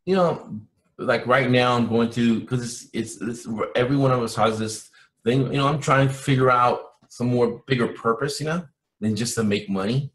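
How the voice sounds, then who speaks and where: A man born in Cuba, interviewed as a guest, who rambles with lots of restarts and side turns. His voice sits at an ordinary middle pitch. The room around him sounds echoey. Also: normal speed. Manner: rambling, animated, many restarts. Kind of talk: casual interview chat.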